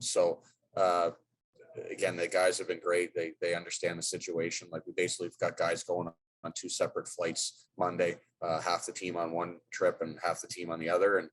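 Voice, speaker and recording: A man's voice: low-pitched, rough recording. A middle-aged man, a hockey head coach, answering press questions in a loose, rambling way with many fillers and restarts.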